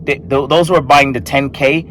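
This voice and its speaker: A man's voice: mid-range voice, steady tone, moderate pace, fairly bright tone, slight background noise. A man in his thirties, from Tanzania and raised in Ireland. He works as a marketing coach and talks casually to camera from an office booth, rambling and correcting himself as he goes. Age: 30s. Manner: rambling, restarts and self-corrections. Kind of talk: casual unscripted talk to camera.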